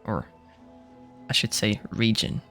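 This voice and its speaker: A man giving casual gameplay commentary as he plays. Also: takes it slowly. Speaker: gamer. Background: game music.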